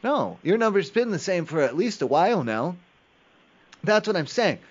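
A man's voice: high voice, sing-song, moderate pace. A man making an improvised prank phone call, rambling, stammering and pestering whoever he has reached. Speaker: prank caller.

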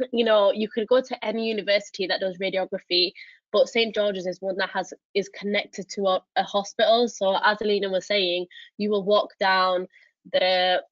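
A woman's voice: mid-range voice, dry recording. A young woman with a northern English accent from Leeds, a student talking enthusiastically to applicants on a video call. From Leeds, England. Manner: friendly, enthusiastic, halting. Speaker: third-year radiography student. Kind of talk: webinar talk to applicants. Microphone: laptop on a video call, camera off. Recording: dry, muffled call audio.

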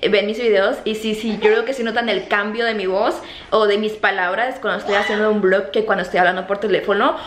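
A woman's voice: mid-range voice, a little fast. Chatty Mexican woman vlogging in her kitchen. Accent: Mexican Spanish, softened toward neutral. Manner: chatty, animated hand gestures, many fillers and repeated words. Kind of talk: casual talk to camera. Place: home kitchen, indoors. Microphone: camera close to face. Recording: echoey kitchen, faint room hum.

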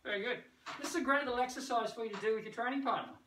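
A middle-aged male martial arts teacher demonstrating as he talks, casual and playful, punching out moves with sound words. He is picked up by a camera some way off on a covered veranda, with roomy echo and clear background noise. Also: very high-pitched, very fast. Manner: physically active while talking. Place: covered brick veranda outdoors. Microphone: camera several metres away. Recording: distant camera mic, roomy, noisy.

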